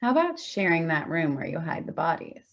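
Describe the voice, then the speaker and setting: A woman's voice: low-pitched, very animated, brisk. A woman playing a bitter, sarcastic grown daughter in a staged play reading, on a dull lo-fi recording. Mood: wounded sarcasm.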